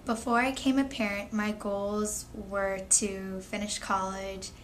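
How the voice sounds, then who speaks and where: A 22-year-old woman speaking in a measured, thoughtful way as a young mother sharing her story. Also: mid-range voice, little pitch movement, a little hiss or hum. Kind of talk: candid personal testimony.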